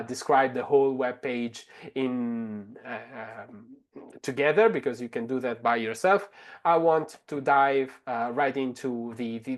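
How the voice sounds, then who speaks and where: A male webinar presenter with an Italian accent, a native speaker of Italian. His voice sits at a middle pitch. He explains each step of a software demonstration in a halting, instructive manner. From Italy.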